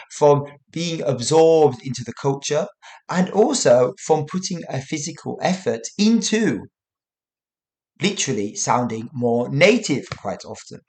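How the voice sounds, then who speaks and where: A man's voice: medium pitch, darkish tone. A man hosting his own English-learning podcast, talking casually to listeners with frequent "you know" asides. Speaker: English teacher and podcast host. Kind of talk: solo educational podcast talk.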